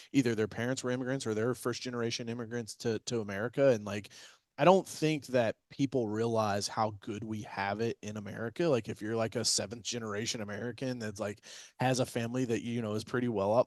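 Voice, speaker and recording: A man's voice: mid-range voice, no room sound, clean studio sound. A man hosting a podcast interview, chatting casually and often repeating words as he starts sentences. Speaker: podcast host.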